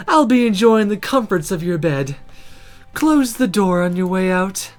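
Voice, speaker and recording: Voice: medium pitch, expressive, unhurried, faint music behind, natural voice. A woman voicing a sardonic demon companion in a visual novel, smug and playful, with emphatic delivery. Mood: lazily smug.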